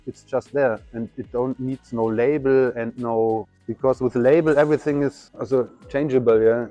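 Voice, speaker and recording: A man in his fifties, a graffiti artist, giving reflective, halting interview answers at an art show. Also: mid-range voice, fairly even intonation, mellow, no echo, dull audio, faint music behind. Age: 50s. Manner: reflective, halting, non-native phrasing.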